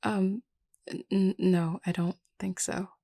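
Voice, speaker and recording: A woman's voice: mid-range voice, steady tone, slow, gravelly, mellow, no echo, studio quality. A woman voicing an anxious, self-doubting young vampire leader in a scripted audio roleplay, hesitant, stammering and apologetic. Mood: flustered, unsure.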